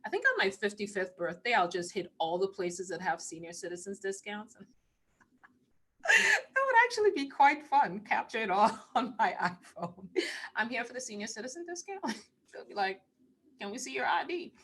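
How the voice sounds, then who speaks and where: Female live-stream host, fifties, from Trinidad. Mid-range pitch, informal, playful, conversational delivery. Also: big pitch swings, normal speed, a bit bright, husky. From Trinidad and Tobago. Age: 50s. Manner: informal, playful, breaking into chuckles. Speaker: spiritual channeler hosting a live stream Q&A. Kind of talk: casual live-stream chat. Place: online live video call.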